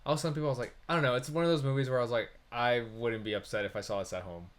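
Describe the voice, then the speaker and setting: A man with a medium-pitched voice speaks as co-host of a film review podcast. He chats casually and comes across as easygoing. He tends to chime in and agree with the conversation. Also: steady tone, very fast.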